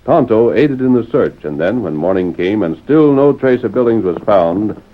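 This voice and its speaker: A man's voice: on the low side, animated, normal speed, a little hiss or hum. A man narrating an old-time radio western as announcer, in a formal, dramatic scripted style. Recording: muffled old radio recording, faint music.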